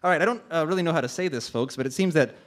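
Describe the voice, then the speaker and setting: Man as a flustered, high-ish radio director. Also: close to an everyday voice. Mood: bewildered. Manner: halting, apologetic, flustered. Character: flustered male director delivering emergency news. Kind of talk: live radio drama, mock breaking news.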